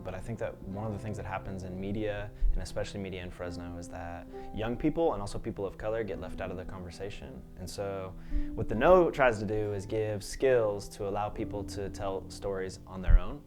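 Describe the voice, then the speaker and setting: A man from a youth media organization, speaking fluently and articulately about its work. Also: fairly low pitch. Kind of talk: interview explaining an organization.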